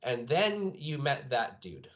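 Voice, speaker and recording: A man's voice: mid-range voice, sing-song, slow pace. A man speaking as a game master, chatting casually and asking a player about their tabletop campaign. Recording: dull, lo-fi voice audio.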